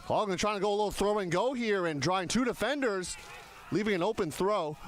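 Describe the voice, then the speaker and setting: A man's voice: high voice, animated, fairly quick. Man in his thirties calling a game. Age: 30s. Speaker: sports commentator. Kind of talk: live play-by-play commentary with casual banter.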